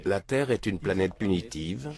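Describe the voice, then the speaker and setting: A man's voice: low voice, animated, normal speed, crowd noise. A man answering interview questions in a matter-of-fact, assured way.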